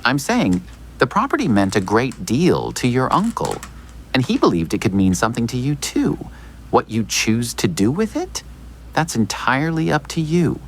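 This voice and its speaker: An actor playing a smooth, evasive small-town lawyer in an audio drama, speaking in a measured, theatrical, hinting way. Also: male voice, medium pitch, wildly swooping pitch, slight background noise, rough recording. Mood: cryptic, insinuating.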